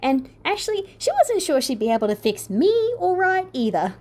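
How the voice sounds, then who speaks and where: A cheeky young male hero, voiced by a woman narrator. He is stubborn and argumentative, pitched rather high and lively, as in a dramatic fan fiction tale. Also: moderate pace. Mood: concerned.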